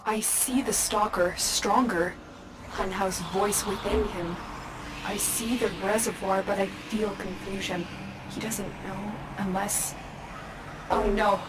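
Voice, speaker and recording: A woman voicing a calm, secretive guide in a video game, measured and urgent. Her voice sits mid-pitch, with faint noise behind it. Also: natural voice. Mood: alarmed. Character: calm, mysterious female guide, wary and secretive. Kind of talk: video game mission voice acting.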